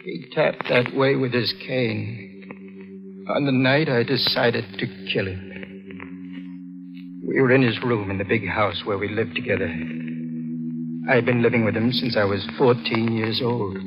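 A man's voice: medium pitch, a little slow, long pauses, highs cut off, close to an everyday voice. Man acting a tormented young murderer, old radio drama. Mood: brooding confession. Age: young adult. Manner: overwrought, intense dramatic delivery. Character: tormented young man confessing to murdering his blind uncle. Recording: old radio recording with music behind.

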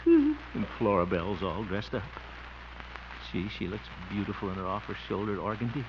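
Brief: a bumbling, jealous suitor, lowish voice that swoops about, blustery asides, dull hissy old radio tape. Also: male voice, big pitch swings, moderate pace. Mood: sneaky. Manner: blustery, flustered muttering to himself. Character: jealous, bumbling grown man sneaking on his sweetheart. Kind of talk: 1950s radio sitcom acting. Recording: dull old radio recording with loud hiss.

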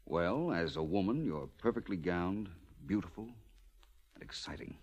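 An actor playing a suave, self-assured detective in a 1940s radio drama, with an urbane, wry, theatrical delivery. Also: male voice, low-pitched, big pitch swings, moderate pace, darkish tone, heavily acted. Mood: smooth, coolly flirtatious. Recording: dull, lo-fi 1940s radio recording.

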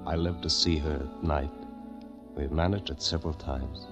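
A very deep-voiced gentleman in an old radio drama, with music clearly behind him. He is earnest and anxious, kindly and inquisitive, and speaks with a measured diarist's care. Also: steady tone, lo-fi sound. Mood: anguished narration. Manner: measured diary narration, stammers when agitated. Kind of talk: 1940s radio drama acting.

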